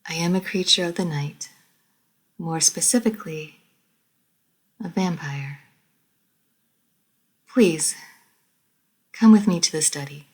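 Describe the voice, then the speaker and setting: Woman acting as female vampire, audio roleplay, breathy husky voice, very slow delivery, refined, formal, proud, easily flustered. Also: on the low side, darkish tone. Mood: solemn, calm. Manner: formal, measured, halting when flustered. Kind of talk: scripted audio roleplay addressed to the listener.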